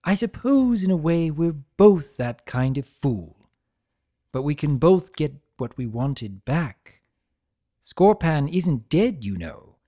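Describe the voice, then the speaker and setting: A man's voice: a little high, big pitch swings, warm tone, full character voice. A man voicing a theatrical, swooping, needling chaos spirit. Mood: sly, friendly. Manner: taunting, emphatic, drawn-out theatrical delivery. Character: theatrical male chaos spirit, a draconequus, needling yet sympathetic. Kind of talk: dramatic storybook reading.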